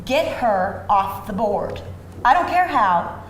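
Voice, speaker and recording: A woman's voice: mid-range voice, very animated, slow pace. A woman playing a domineering, scheming soccer mom with a curt, commanding, menacing manner at a screenplay table read. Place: live event room before an audience. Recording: roomy live reading with faint noise.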